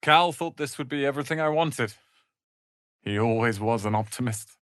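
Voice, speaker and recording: An actor playing a proud, bitter young tiefling wizard in a video game, speaking in a haughty, theatrical, sardonic way. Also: male voice, medium pitch, moderate pace, a bit bright, no echo, clean studio sound, natural voice. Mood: grave, regretful. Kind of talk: video game character acting.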